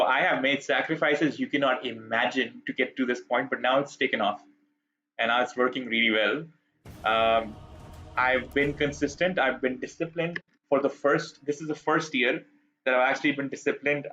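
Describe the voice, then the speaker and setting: A man's voice: medium pitch, steady tone, normal speed, bright, forceful tone, lo-fi sound. A man from Pakistan chatting casually and candidly as he live-streams a game, informal and reflective. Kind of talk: casual live-stream chat during gaming.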